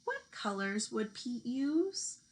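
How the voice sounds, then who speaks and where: A woman teacher reading a children's picture book aloud, speaking deliberately to young listeners. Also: fairly high pitch, big pitch swings. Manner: slow, deliberate delivery addressed to young children. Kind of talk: children's storybook read-aloud.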